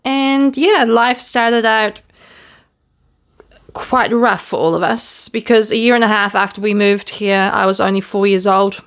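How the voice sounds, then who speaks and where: A woman with a New Zealand accent hosting her own podcast, talking to camera in a gentle, candid, self-deprecating way, filmed at home. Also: medium pitch, unhurried. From born in the Netherlands, raised in New Zealand. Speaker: podcast host. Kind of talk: casual reflective monologue to camera. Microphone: self-filmed video camera.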